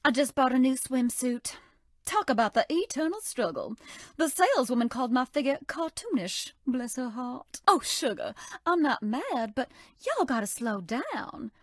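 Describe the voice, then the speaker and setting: Sultry Southern belle baker. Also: female voice, sits high, no echo, studio quality, heavily acted. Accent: American Southern. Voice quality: sultry drawl, coy and teasing. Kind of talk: video game character voice lines.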